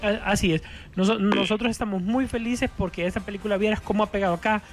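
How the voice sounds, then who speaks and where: A Honduran man hosts a casual film show in a noticeably high voice. He talks in long, wordy stretches, stumbling over repeated words. Light music plays behind him. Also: steady tone, fast. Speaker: radio show host. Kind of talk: casual, chatty film talk. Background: background music.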